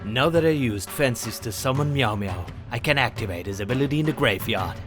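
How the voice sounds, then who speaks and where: A man's voice: mid-range voice, lively intonation, fairly quick, lightly acted. A man playing a determined, earnest hero duelist in a fan audio drama. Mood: focused, commanding. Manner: earnest, resolute. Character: determined, brooding male hero duelist, kind to companions. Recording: music behind the voice.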